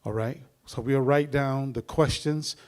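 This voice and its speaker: A middle-aged man hosting a church seminar, introducing the speaker in a rambling, preacherly way with many pauses. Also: mid-range voice, steady tone.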